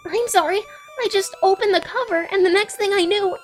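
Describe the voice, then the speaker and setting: A woman's voice: extremely high pitch, fairly quick, soft background music. A woman voicing an eager, bookish young female cartoon pony with an earnest, wordy, apologetic manner in a comic dub. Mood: flustered, apologetic.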